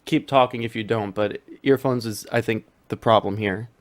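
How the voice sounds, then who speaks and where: A man's voice: lowish voice, steady tone, normal speed. A man debating on a voice call, asking questions casually with frequent restarts.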